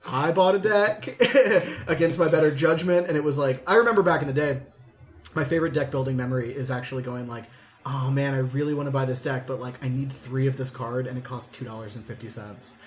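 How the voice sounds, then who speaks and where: A man's voice: mid-range voice, a little fast. A man co-hosting a casual podcast chat, telling anecdotes conversationally and swearing casually. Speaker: podcast co-host.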